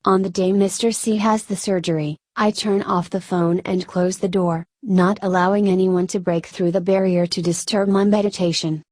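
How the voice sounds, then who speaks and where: A woman's voice: mid-range voice, steady tone, moderate pace, hardly any pauses, darkish tone, dry recording. A woman reading a scripted first-person narration of a Buddhist story.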